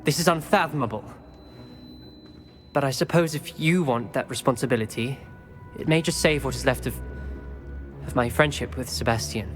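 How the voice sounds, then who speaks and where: A man's voice: medium pitch, moderate pace, pauses often, natural voice. Man playing grave, anxious British schoolboy, music behind. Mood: reluctant. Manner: formal, grave wording. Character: anxious, principled teenage schoolboy wizard, wary of dark magic. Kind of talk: video game cutscene acting.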